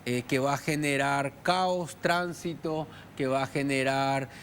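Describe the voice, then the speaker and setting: A man speaking as a guest on a studio panel discussion, in measured phrases with fillers. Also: mid-range voice, fairly even intonation, a bit bright, slight background noise.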